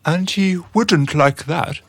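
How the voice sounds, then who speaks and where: A man's voice: on the high side, slow pace, no room sound. An actor playing a deferential, callous junior henchman in a radio drama, coldly reporting like a dutiful subordinate. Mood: coolly pleased.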